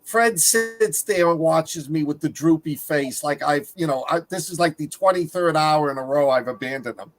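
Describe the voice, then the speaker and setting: Male panelist, voice a little high, informal and halting film chat. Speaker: panelist on a movie review show. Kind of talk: casual movie discussion.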